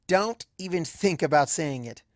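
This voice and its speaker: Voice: mid-range voice, animated, moderate pace, dry recording, clean studio sound, lightly acted. A narrator voicing an anxious, earnest young female unicorn pony in a story, flustered and hesitant. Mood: uneasy, guilty.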